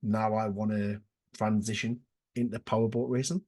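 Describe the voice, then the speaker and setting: Podcast host guy, a bit husky, eager, keeps saying wow, trips over his words. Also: on the low side, flat delivery, moderate pace, a little mellow. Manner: enthusiastic, stumbling restarts, lots of "wow". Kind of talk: casual podcast interview.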